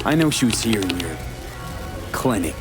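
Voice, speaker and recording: Low-voiced, blunt mercenary. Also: male voice, sing-song, normal speed, music in the background. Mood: insistent, accusing. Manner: blunt, terse, controlled. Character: cool, determined male mercenary, former nomad. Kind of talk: video game character acting.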